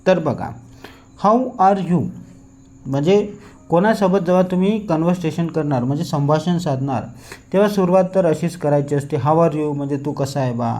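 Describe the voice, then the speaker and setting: A man with a Marathi Indian accent teaching a lesson, deliberately reading out practice sentences for learners to repeat. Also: a little high, dark tone. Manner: deliberate, repetitive, instructing listeners to repeat after him.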